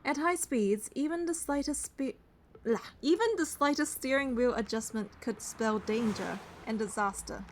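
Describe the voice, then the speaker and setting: A woman as high-voiced earnest game heroine. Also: moderate pace, slight background noise, natural voice. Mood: tense. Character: earnest young female hunter and bodyguard, fondly teasing heroine. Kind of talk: scripted first-person game narration and dialogue.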